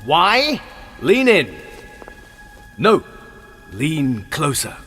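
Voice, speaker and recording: An actor playing a gloating, murderous villain in an audio drama, with theatrical, sneering, drawn-out delivery. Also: male voice, a little high, huge pitch swings, fairly bright tone, breathy edge, full character voice. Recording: music under the voice.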